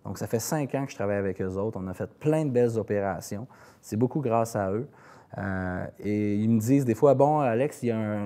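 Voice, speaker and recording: Québécois male singer-songwriter as interview guest, soft dark timbre, slightly low pitch, conversational and searching. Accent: Quebec French.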